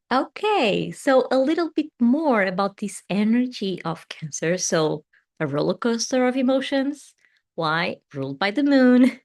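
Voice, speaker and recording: A Portuguese woman with a Portuguese accent, English not her first language, hosting a live tarot and meditation stream, talking in a gentle, soothing way that often trails off softly. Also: mid-range voice. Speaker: tarot reader and meditation host. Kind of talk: live-stream chat answering viewer comments.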